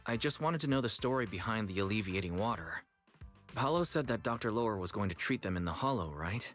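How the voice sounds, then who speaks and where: Calm young male game investigator: lowish voice, polite, measured, soft music bed. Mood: polite, curious. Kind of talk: video game story dialogue acting.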